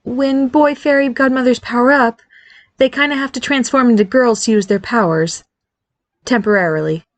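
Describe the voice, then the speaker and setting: Brief: woman doing a high bubbly fairy-godmother mom, anxious but reassuring, close dry sound. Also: normal speed, no room sound, close to an everyday voice. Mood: chirpy nervous. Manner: eager, reassuring, nervous, bubbly.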